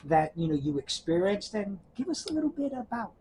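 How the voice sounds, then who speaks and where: A man's voice: high voice, sing-song, a little fast, mellow, slight background noise. A man working as an archivist, conducting an oral history interview in a friendly, encouraging way. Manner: friendly, encouraging, with many "you know"s.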